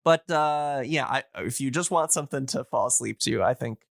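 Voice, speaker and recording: A man's voice: mid-range voice, moderate pace, gravelly, no room sound, studio quality. A man in casual podcast chat, talking in a rambling, hedging way as an enthusiast guest. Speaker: podcast guest and radio drama hobbyist.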